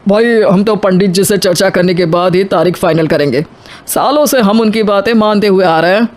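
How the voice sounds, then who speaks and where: A woman's voice: medium pitch, normal speed, darkish tone, natural voice. A woman voicing a stern, conservative middle-aged father of a groom, self-assured, in a moral story.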